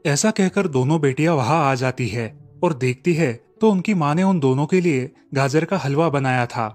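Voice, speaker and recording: A man narrating a cartoon story with a steady storytelling delivery. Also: fairly high pitch, normal speed.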